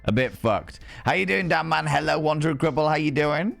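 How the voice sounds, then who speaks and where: A man hosting a livestream, hoarse after a day of shouting, greeting viewers with playful, theatrical flourishes in casual chat. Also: a little high, expressive, fairly quick, faint music behind. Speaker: livestream host.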